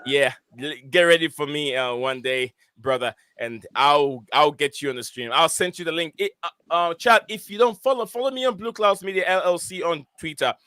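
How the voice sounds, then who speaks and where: A man's voice: a little high, normal speed, hardly any pauses, sharp tone, no room sound. A man hosting a casual football live stream, hesitant and full of fillers as he reads out viewer comments. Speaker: host of a football podcast stream.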